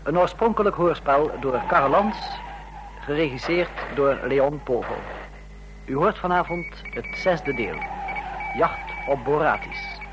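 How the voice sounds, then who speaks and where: Slow, formal male radio announcer. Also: a little high, lively intonation, music in the background. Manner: measured, formal. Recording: dull, noisy old radio recording.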